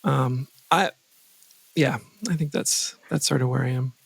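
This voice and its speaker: A man being interviewed as a podcast guest, speaking casually in a thoughtful, halting way with wry asides, close to the microphone. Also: medium pitch, steady tone, creaky, slightly rough, no echo. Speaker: podcast guest, composer and sound designer.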